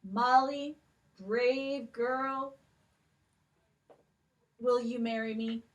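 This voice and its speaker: A woman's voice: fairly high pitch, fairly even intonation, drawn-out words, far from the mic, rough recording. A woman voicing an earnest young prince in a children's puppet show, gushing and tripping over words. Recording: voice from off the mic.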